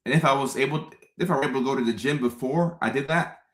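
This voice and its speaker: A man in his thirties from Ghana, hosting a relaxed livestream and chatting with viewers, often repeating his phrases. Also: medium pitch, fast talker. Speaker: host. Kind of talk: casual livestream chat.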